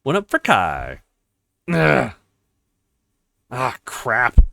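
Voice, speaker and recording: Very animated man reacting to a game. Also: medium pitch, drawn-out words, vocal fry, no echo, studio quality. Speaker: let's play host. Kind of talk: casual gameplay reactions.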